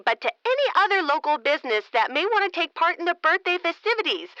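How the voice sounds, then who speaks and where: A smug female small-town mayor in a comedic radio drama. Her voice is high and swings widely in pitch, recorded close and dry. She is patronising, wrapping every dig in sugary politeness. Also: pushed and bright, dry recording, dull audio, full character voice. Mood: breezy, pointed. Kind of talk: comedic audio drama acting.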